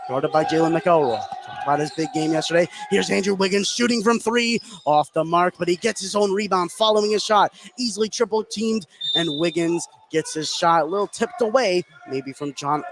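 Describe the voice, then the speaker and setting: A man calling live radio basketball play-by-play from courtside in a high school gym. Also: moderate pace, few pauses. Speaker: play-by-play sports commentator. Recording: clearly noisy gym ambience. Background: clear gym noise.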